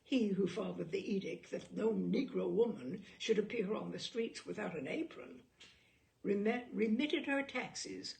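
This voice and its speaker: A woman reading a short story aloud in an expressive literary narration, with deliberate, dramatic phrasing and pauses. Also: mid-range voice, creaky, poor audio.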